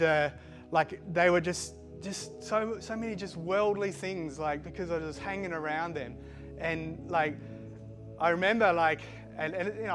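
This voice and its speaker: A middle-aged man born in Switzerland and raised in Australia, with a mixed Australian accent, giving a halting personal testimony full of fillers and restarts. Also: fairly high pitch. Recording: music playing behind the voice.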